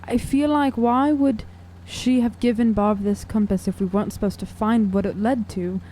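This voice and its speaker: A woman's voice: a little high, fairly even intonation, normal speed, dark tone, slight background noise, low-quality recording. A woman talking as a player in a tabletop game, earnest and emphatic in a casual discussion.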